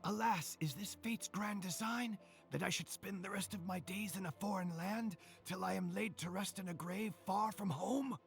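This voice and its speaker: A man's voice: high voice, normal speed, creaky, faint music behind. An actor playing an earnest, anxious young theater man in a fantasy game, polite and eager in manner. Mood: urgent, confused. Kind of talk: animated fantasy video game dialogue.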